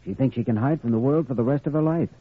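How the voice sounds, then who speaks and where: An actor playing a determined, compassionate young doctor, firm and earnest, in 1940s radio drama style. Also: male voice, mid-range voice, quick pace, husky, natural voice. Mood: earnest, troubled. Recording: vintage radio recording, narrow band.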